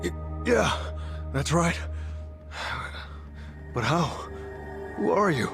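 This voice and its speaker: A shaken man in a video game who stammers as he recounts an attack. His somewhat high voice has a gravelly fry, with game music behind. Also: sing-song, extremely slow, exaggerated voice.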